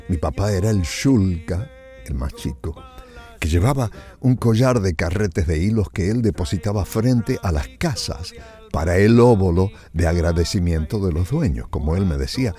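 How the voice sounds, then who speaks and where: Deep, mellow older Argentine man telling stories. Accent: northern Argentine. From Salta, northern Argentina. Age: 70s. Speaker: author reading his own stories. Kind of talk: storytelling narration of family memories.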